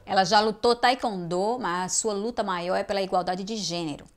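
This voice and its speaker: Brief: woman, show host, mid-pitched, reads a polished intro, then gives short nods of agreement. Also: a little fast. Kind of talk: interview hosting.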